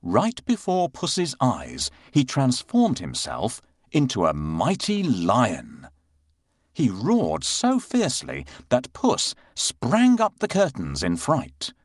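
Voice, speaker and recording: A man narrating a scripted children's bedtime fairy tale with expressive, dramatic delivery. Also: mid-range voice, slow pace, no echo, clean studio sound. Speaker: storyteller narrator.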